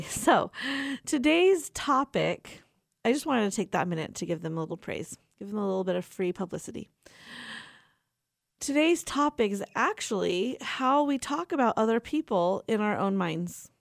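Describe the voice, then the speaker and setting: Young-sounding woman with a gravelly edge. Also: medium pitch, no room sound, studio quality. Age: 40s. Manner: rambling and personal, laughs often. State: tired. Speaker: radio show host. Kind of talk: casual chatty radio talk.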